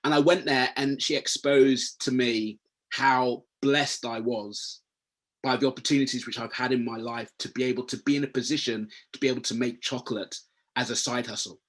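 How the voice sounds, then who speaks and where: Husky-voiced man moderating a webinar. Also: medium pitch, fairly even intonation, moderate pace, a bit bright. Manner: friendly, rambling with restarts. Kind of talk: casual panel chat. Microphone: video call.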